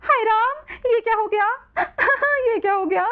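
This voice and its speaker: Woman playing a high-pitched jealous, scheming younger sister. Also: measured pace, narrow, tinny audio, soft background music. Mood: wailing. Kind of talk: children's story acting.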